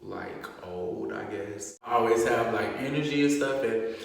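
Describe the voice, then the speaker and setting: Low-voiced chatty man in an echoey room. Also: a little slow, gravelly, far from the mic. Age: late 20s. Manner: chatty, animated, frequent "like" fillers. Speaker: vlogger host. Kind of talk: casual chat.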